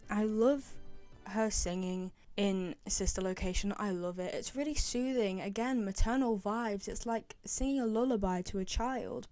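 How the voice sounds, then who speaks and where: A woman's voice: mid-range voice, a little mellow, no room sound. A young woman giving casual, opinionated commentary in a chatty, emphatic way. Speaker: video host, game fan.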